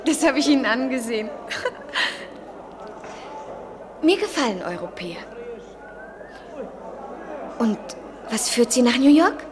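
A woman's voice: fairly high pitch, very animated, takes it slowly, pauses often, a crowd in the background. A woman playing an eager, dreamy student in her twenties in a poetic, theatrical German radio play style. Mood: eager, wistful. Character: Jennifer, eager, dreamy 23-year-old American student in love.

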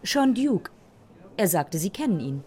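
A woman's voice: medium pitch, sing-song, measured pace, a little mellow, a little hiss or hum. A woman playing a cool, condescending, cosmopolitan photographer in her thirties in an audio drama. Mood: cool, superior. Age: 30s.

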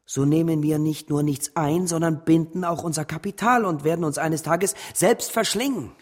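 Actor as principled, husky-voiced, cautious businessman. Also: fairly high pitch, close to an everyday voice. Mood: alarmed, firm. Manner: earnest, measured objecting. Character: cautious, principled male business partner troubled by speculation. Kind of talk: German radio drama acting.

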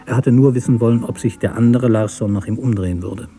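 A man narrating a literary story for radio in a measured, deliberate way. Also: mid-range voice, mellow, faint music behind.

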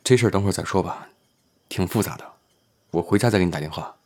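Man playing a low-voiced, gentle, modest actor. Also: fast, background noise. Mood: hesitant. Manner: polite, mild, hesitant. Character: modest, gentle, considerate male minor actor. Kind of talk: audio drama acting.

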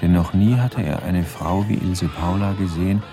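An actor narrating a German radio crime comedy as a wry, composed storyteller in a measured, dryly ironic manner. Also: male voice, deep voice, music in the background. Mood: dry irony. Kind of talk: scripted radio drama narration.

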